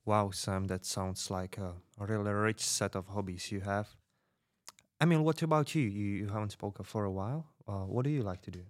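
A man playing a calm underground radio host in a fiction podcast, moderating gently. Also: lowish voice, normal speed, no echo, clean studio sound, lightly acted. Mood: calm, attentive.

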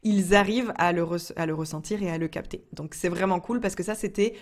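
A woman's voice: lowish voice, fairly quick. A young woman from France telling a personal story in a chatty way, with many fillers and restarts. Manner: chatty, frequent fillers and restarts, laughs often. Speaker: entrepreneur vlogger.

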